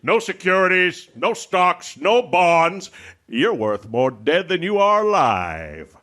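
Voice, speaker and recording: Gloating old tycoon for radio drama, played by a man: scornful, forceful, swooping pitch. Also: sing-song, takes it slowly, exaggerated voice. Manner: sneering, scornful, declamatory. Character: cruel, gloating old male rich banker. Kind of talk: radio theater acting.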